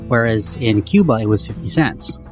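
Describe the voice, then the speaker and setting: A man co-hosting a podcast in casual chat, his voice roughened from a sleepless night, talking loosely with many fillers. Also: mid-range voice, lively intonation, moderate pace, faint music behind. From Canada. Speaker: podcast co-host.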